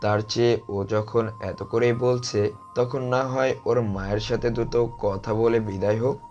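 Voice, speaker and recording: A man's voice: mid-range voice, fairly even intonation, measured pace, lightly acted. An actor playing a cruel, contemptuous middle-aged father in an audio story drama, harsh and scornful. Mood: cold, dismissive. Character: cruel, contemptuous father disowning his dark-skinned son. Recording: muffled lo-fi track under loud music.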